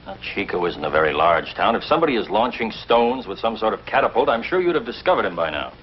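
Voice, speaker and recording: A man playing a reasonable, skeptical reporter in a 1950s TV drama, measured and questioning. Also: on the low side, sing-song. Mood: calm, questioning. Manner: measured, questioning, hesitant starts. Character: reasonable, inquisitive, skeptical male city reporter. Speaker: actor in a TV drama. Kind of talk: 1950s television drama acting. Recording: dull, noisy old TV soundtrack.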